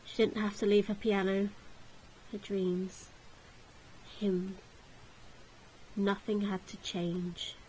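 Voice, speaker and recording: A woman's voice: medium pitch, level tone, slow, pauses often, darkish tone, low-quality recording. Woman narrating dramatically, slight background noise. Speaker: narrator, game player reading aloud.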